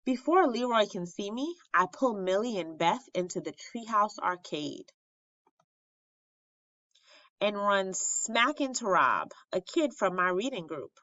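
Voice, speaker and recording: A woman reads a children's story aloud expressively, with dramatic pauses and emphasis on key phrases. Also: medium pitch, big pitch swings, a bit bright. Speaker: storybook reader at an elementary school. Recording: roomy, slightly dull lo-fi recording.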